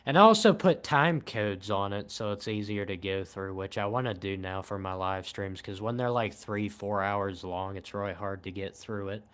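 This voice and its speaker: Monotone young man, smooth low voice. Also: measured pace. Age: 20s. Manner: monotone, calm and low-key, says he shows little emotion. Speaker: livestream host. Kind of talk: casual late-night livestream chat.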